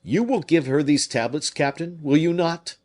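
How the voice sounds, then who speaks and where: A man's voice: a little high, moderate pace, darkish tone, voice pushed up, natural voice. A man reading an audiobook, voicing a heartbroken young male nobleman who speaks solemnly and earnestly. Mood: grave.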